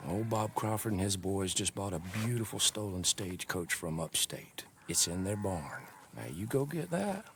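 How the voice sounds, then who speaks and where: An actor playing a cagey old American dealer in stolen goods, folksy, guarded and grudging, in a video game cutscene. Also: male voice, fairly low pitch, lively intonation, moderate pace, hardly any pauses, slight background noise. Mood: sly. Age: older.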